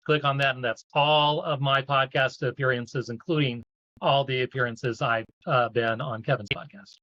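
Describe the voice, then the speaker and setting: A man's voice: mid-range voice, fairly even intonation, normal speed, sharp tone, dry recording, highs cut off. A man hosting a live online event and introducing guests as a support group coach.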